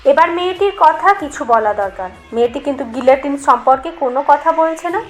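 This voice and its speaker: A woman reading a scripted eerie story as a narrator, in an expressive, measured storytelling manner. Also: high-pitched, soft background music. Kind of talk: dramatic scripted narration of a horror tale.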